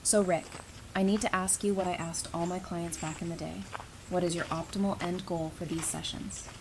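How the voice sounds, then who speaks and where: A woman's voice: on the low side, steady tone, lightly acted. Woman playing a composed therapist, faintly noisy mic. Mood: businesslike. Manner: measured, dry, self-assured. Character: composed, tough-minded female therapist with a guarded past. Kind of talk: audio drama acting.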